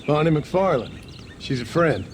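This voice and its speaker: Dry-witted frontier gunslinger turned rancher. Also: male voice, on the high side, lively intonation, unhurried, a crowd in the background. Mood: dry, defensive. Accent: American frontier drawl. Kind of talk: video game cutscene acting.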